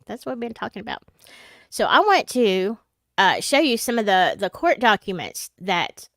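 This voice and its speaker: A woman's voice: mid-range voice, a bit bright, no room sound, studio quality. A woman with a Southern US accent who hosts a true crime livestream. She sounds congested from a sinus infection and talks in a chatty, rambling way, full of fillers and asides. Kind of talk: casual livestream chat.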